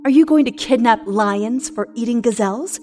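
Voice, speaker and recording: A woman's voice: on the high side, moderate pace, warm tone, natural voice. A woman playing a bitter, sarcastic, century-old shapeshifting monster in an audio horror drama, combative and foul-mouthed. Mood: furious. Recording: music under the voice.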